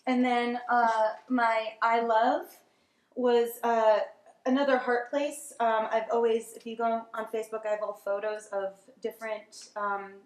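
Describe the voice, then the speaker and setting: A woman's voice: fairly high pitch, level tone, a little slow. New York woman, casual sharing, hesitant, laughing, roomy echo. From Staten Island, New York. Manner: laughing, hesitant, many ums. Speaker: workshop participant. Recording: echoey room.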